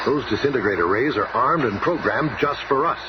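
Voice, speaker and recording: A man plays an assured scientist leader of a superhero team, explaining earnestly with lively intonation. The vintage radio drama sound is muffled and very noisy. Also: medium pitch, fairly quick, natural voice. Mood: measured, assured. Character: calm, authoritative male scientist team leader.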